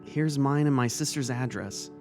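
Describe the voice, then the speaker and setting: A man acting a kindly, caring male vice-principal and mentor in a dramatic story reading, speaking gently and earnestly. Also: mid-range voice, moderate pace, close to an everyday voice. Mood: reassuring, caring. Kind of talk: dramatic reading of fan fiction. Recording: music under the voice.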